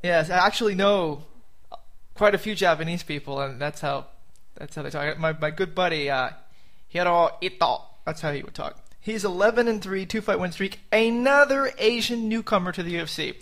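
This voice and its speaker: A man's voice: high-pitched, moderate pace, a bit bright. A man co-hosting a casual sports podcast, joking and teasing and often laughing at his own gags. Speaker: podcast co-host.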